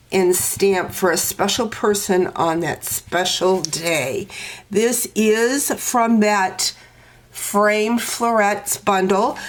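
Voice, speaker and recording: A woman with a stuffy, congested voice talks through a card-making project in an unhurried, step-by-step way. Her pace is slow and easy, with faint background noise and small clicks under her voice. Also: mid-range voice. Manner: slow, step-by-step instructing with fillers. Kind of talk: crafting tutorial walkthrough.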